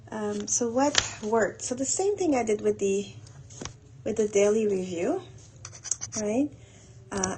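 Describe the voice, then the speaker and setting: A woman talking casually and haltingly as she thinks aloud through her planning in an audio log. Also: mid-range voice, darkish tone, rough recording. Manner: unhurried, halting, thinking aloud while doing tasks. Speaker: host of a planning audio log for a women's group. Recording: noticeable background noise.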